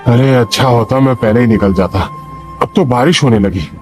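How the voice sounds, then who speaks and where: A man's voice: mid-range voice, moderate pace, darkish tone, lightly acted. Man acting a careworn, humble poor father. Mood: worried, weary. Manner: plaintive, humble. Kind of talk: Hindi animated moral story dialogue. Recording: music behind the voice.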